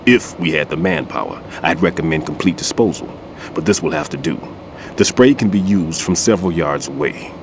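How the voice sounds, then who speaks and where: An actor playing an older male bomb disposal expert, a patient mentor who explains things in a measured, instructive way in a game cutscene. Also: deep voice, expressive, background noise. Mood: calm instructive. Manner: instructive, reflective. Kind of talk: video game cutscene dialogue. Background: music score.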